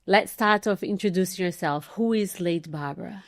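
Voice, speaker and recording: Smooth-voiced, effusive woman podcast host. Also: fairly low pitch, a little fast, no echo, studio quality. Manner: effusive, enthusiastic interviewer. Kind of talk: interview conversation.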